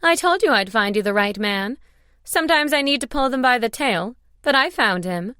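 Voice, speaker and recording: A woman's voice: fairly high pitch, animated, normal speed, bright, forceful tone, clear, resonant tone, dry recording, studio quality, pitched above the performer's own voice. A woman voicing a brash, playful older female matchmaker in an audiobook, bold and teasing. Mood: gleeful, bold. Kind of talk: audiobook character dialogue.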